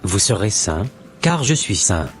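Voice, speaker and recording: Scripture-quoting church brother in a cartoon played by a man, lowish, music under. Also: big pitch swings. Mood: preachy. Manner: slow, preachy, quotes scripture. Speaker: channel co-host.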